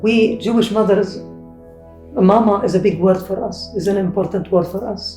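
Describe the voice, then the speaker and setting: Woman guest with a mellow, warm tone and heartfelt, repetitive storytelling, in an echoey room over faint music. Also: medium pitch, fairly quick. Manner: warm, emotional, repeats phrases for emphasis. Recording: roomy echo with soft background music.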